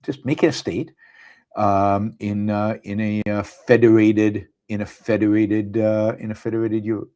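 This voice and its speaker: A middle-aged man with an Israeli accent, hosting a live show in a casual, halting monologue with many fillers and restarts. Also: fairly low pitch, level tone, mellow. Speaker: podcast host. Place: abroad, speaking on a live stream.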